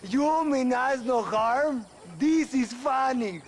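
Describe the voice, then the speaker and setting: An actor playing a swaggering Mexican bandit leader in a game cutscene, taunting and chuckling with drawn-out menace. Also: male voice, extremely high pitch, a little hiss or hum, exaggerated voice. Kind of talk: video game cutscene acting.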